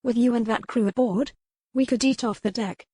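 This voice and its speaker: A woman's voice: a little high, moderate pace, dark tone, breathy edge, dry recording, clean studio sound, pitched above the performer's own voice. A woman voicing a strong-willed captive noblewoman in an audiobook, formal, pointed and challenging. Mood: wry, challenging. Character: defiant, sharp-witted captive noble lady, strong-willed. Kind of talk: audiobook character dialogue.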